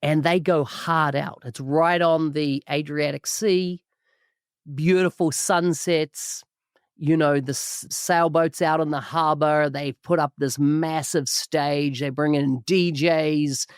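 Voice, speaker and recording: A man's voice: on the high side, fairly even intonation, slow pace, clear, resonant tone, no echo, studio quality. A man hosting a podcast, enthusiastic and full of praise. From New Zealand. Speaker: podcast host. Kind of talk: podcast interview.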